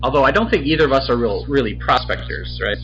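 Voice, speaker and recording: A man's voice: mid-range voice, lively intonation, fast talker, a bit bright, lo-fi sound, slight background noise. A man co-hosting a casual podcast in a laid-back way, laughing often and giving short replies. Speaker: podcast co-host. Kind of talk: casual chat.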